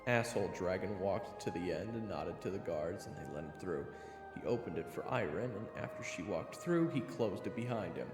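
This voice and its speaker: A man's voice: low voice, lively intonation, normal speed, roomy sound, big-room sound, music in the background. A man playing a brash, sarcastic young hero who also narrates a fantasy audio drama in blunt first person. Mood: storytelling.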